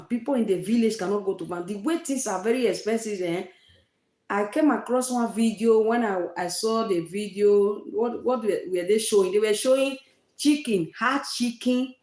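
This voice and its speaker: A woman's voice: on the high side, a little mellow, echoey room. A woman from Nigeria with a Nigerian accent, hosting a casual livestream chat and repeating herself for emphasis. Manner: repetitive, emphatic, uses Nigerian fillers like eh and oh. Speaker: live-stream host.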